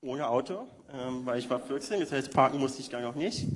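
A man's voice: mid-range voice, brisk. Chatty man from in a reverberant hall. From Berlin, Germany. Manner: self-deprecating, chatty, laughs often. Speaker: youth worker. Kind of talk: interview on a church stage. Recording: hall reverb, faint noise.

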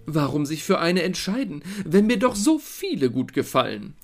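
A man's voice: fairly high pitch, big pitch swings, moderate pace, faint music behind. A man playing a vain young prince in a fairy-tale audio play, nonchalant and self-satisfied. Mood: carefree.

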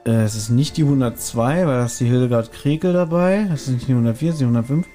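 Mellow-voiced man thinking aloud. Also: mid-range voice, fast talker, soft background music. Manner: thinks aloud, hesitant, many fillers. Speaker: podcast co-host. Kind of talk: casual chat, guessing quiz answers.

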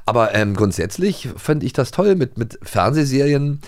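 A middle-aged man co-hosting a podcast in casual chat, often halting as he searches for words. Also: medium pitch, very animated, no room sound, clean studio sound. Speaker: podcast co-host.

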